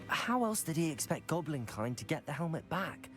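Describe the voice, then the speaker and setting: Curious young student, lively intonation. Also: male voice, on the high side, moderate pace, soft background music. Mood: inquisitive. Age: young adult. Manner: polite, inquisitive. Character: composed, curious, helpful teenage student adventurer. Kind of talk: video game acting.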